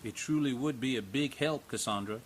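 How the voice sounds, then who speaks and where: A man's voice: mid-range voice, brisk, slight background noise. A man narrating and playing an investigator in a radio drama, in a wry, conversational way.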